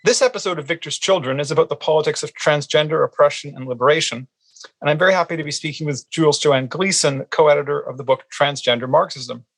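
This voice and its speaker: A man's voice: fairly high pitch, fast. A man with a Canadian accent, hosting and interviewing on a podcast. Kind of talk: scripted podcast introduction and interview.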